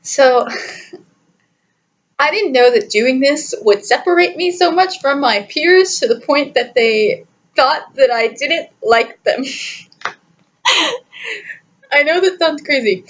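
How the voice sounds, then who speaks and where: A young adult woman telling a personal story in a casual, chatty, animated way, giggly and often interrupting herself. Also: high voice, slow pace, long pauses. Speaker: storytime vlogger.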